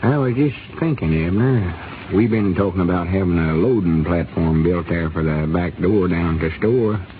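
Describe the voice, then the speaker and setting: An actor playing a folksy small-town male storekeeper, talking in a slow, drawling, thoughtful way, in 1930s radio comedy acting. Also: deep voice, over music, close to an everyday voice. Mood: easygoing. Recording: tinny, hissy 1930s radio broadcast.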